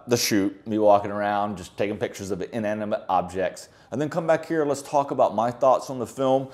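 A middle-aged man with a somewhat low-pitched voice speaks casually to camera like a hobby reviewer. His delivery is conversational and unscripted, picked up indoors by a small clip-on mic. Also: a little mellow. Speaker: film photography vlogger. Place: indoor living-room style office, seated on a sofa.

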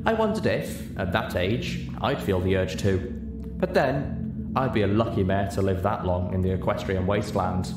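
A man's voice: deep voice, animated, normal speed. A man reading scripted first-person story narration as an audiobook narrator. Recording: roomy sound. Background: music.

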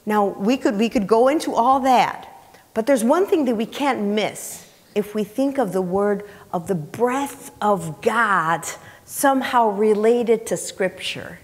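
A woman's voice: medium pitch, expressive, unhurried. A middle-aged woman preaching a sermon in an emphatic, conversational way, repeating phrases and asking rhetorical questions. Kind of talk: sermon, teaching scripture to a congregation. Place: church sanctuary, indoors, on the platform. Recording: reverberant hall, picked up in large room.

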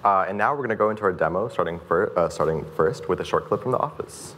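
A young man from Kyrgyzstan runs a product demo on a stage. His voice is low and he talks quickly, briskly explaining each step to the room. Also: a bit bright, slight background noise. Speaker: researcher presenting a demo. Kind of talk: scripted demo presentation. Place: stage in a mid-sized event room.

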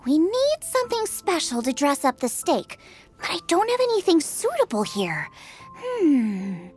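Excitable, very high-pitched young girl chef. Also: a little slow, faint music behind, full character voice. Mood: thoughtful, brisk. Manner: exclamatory, eager. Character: excitable teenage girl chef, cheerful and bossy. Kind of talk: video game voice acting.

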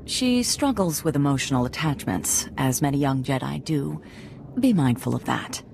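An actress playing a wise, solemn alien Jedi master in a video game, measured and formal. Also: female voice, extremely low pitch, expressive, vocal fry, darkish tone, slight background noise, exaggerated voice. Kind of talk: video game character acting.

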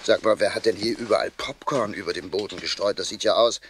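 A man's voice: fairly low pitch, fast, natural voice. An actor playing a good-humoured but firm, mock-stern father in a children's radio play, with faint hiss behind.

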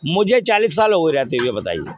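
A man's voice: a little high, wildly swooping pitch, a little fast, a crowd in the background. A middle-aged man giving his opinion emphatically in a street interview, often asking rhetorical questions. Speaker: local resident interviewed on the street. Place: outdoors in a busy market street. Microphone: handheld reporter's microphone. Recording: dull, lo-fi street recording.

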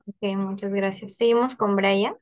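A woman's voice: medium pitch, fairly even intonation, unhurried. A young woman with a Peruvian accent, moderating a structured focus group on a video call. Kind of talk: structured group discussion, introducing questions.

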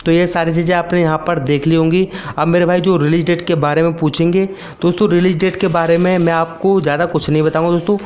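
A man's voice: on the high side, flat delivery, fast talker, few pauses, darkish tone. A young man giving a casual gaming update voice-over, repeating himself and addressing viewers as friends. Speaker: YouTube gaming channel host. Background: background music.

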